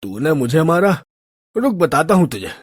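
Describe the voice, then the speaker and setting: A woman voicing a drunken, lecherous male street thug with a husky, coarse, threatening way of talking, in an audio story. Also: low-pitched, very animated, moderate pace, no room sound, heavily acted. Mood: angry, alarmed.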